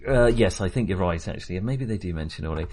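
Hesitant, lower-voiced male podcast host. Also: expressive, fast talker, a little mellow. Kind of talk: casual film discussion.

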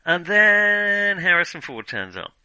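A man's voice: high-pitched, big pitch swings, measured pace, pushed and bright, clean tone, no echo. A man chatting casually as a film podcast host, opinionated and thinking aloud as he reviews.

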